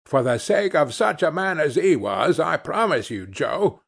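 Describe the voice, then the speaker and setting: A man's voice: very animated, unhurried, gravelly, slightly rough, exaggerated voice. A man voicing a coarse, greedy woman who cackles and mocks. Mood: cool. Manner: shrill cackling laughter. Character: coarse scheming old charwoman, mocking and greedy.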